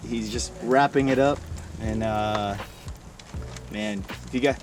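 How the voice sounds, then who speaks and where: A man's voice: fairly low pitch, fairly even intonation, slow pace, over music. A man hosting a casual vlog in jokey, slangy bro talk. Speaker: vlog host filming a truck build.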